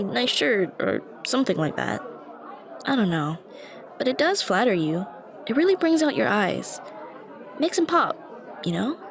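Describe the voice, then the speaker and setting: A woman playing a shy, anxious young stranger who rambles hesitantly and self-consciously to the listener in an ASMR roleplay. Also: fairly high pitch. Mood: awkward, eager. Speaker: roleplay voice actress. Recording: busy cafe chatter behind voice. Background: coffee shop crowd murmur.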